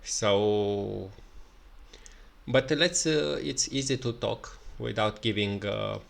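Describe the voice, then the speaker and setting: A man with a Romanian accent, speaking English in an unscripted solo commentary, halting with many fillers and restarts. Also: lowish voice, creaky. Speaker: video commentator.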